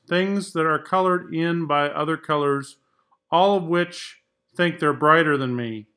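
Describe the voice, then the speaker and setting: Man as a resentful, bright-voiced crayon. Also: a little high.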